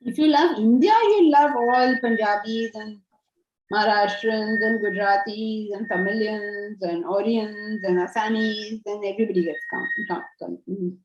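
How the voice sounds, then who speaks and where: A woman's voice: mid-range voice, normal speed, noisy background. Woman in her sixties, Vedanta teacher, patient, roomy home study, laptop mic. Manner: patient, explanatory, animated hand gestures. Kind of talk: online class Q&A. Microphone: video call laptop mic. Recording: roomy, dull video call audio.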